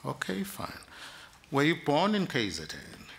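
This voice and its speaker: A man's voice: on the high side, wildly swooping pitch, unhurried, vocal fry, a bit bright. A man on an interview panel, asking direct, pointed questions.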